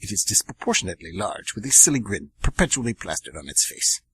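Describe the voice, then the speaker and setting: A man's voice: lowish voice, big pitch swings, quick pace, fairly bright tone. A man narrating an amateur radio drama in a dramatic, ominous storytelling style.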